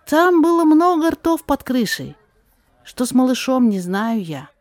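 A woman's voice: high-pitched, very animated, unhurried, pitched above the performer's own voice. A woman voicing a frank, gossipy male fruit seller who talks in plaintive exclamations in a rhymed audio drama. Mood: frank, helpful.

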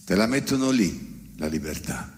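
A man delivering an ironic, emphatic theatrical monologue with dramatic pauses. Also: low-pitched, big pitch swings. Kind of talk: theatrical spoken monologue on stage. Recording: long hall reverb.

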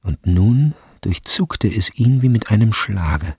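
A man giving measured, deliberate narration in a literary radio drama. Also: lowish voice, lively intonation, a little mellow, husky, no room sound, studio quality.

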